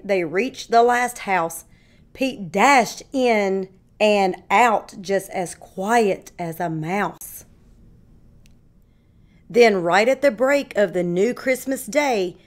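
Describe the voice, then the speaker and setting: A woman reading a rhyming picture book aloud to young children like a kindergarten teacher, with measured, deliberate phrasing. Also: mid-range voice.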